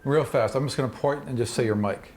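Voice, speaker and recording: A man improvising as game master in a tabletop game, narrating vividly in a halting, conversational way. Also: mid-range voice, over loud music.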